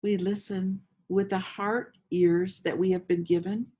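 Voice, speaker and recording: Female meditation host, medium pitch, slow inviting delivery, gentle storytelling with generous pauses. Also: flat delivery. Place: outdoors among roses.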